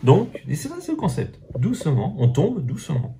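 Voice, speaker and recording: A man with a slightly high, very lively voice mixes broken English and French as he explains. His pitch jumps around a lot. A crowd chatters behind him. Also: normal speed.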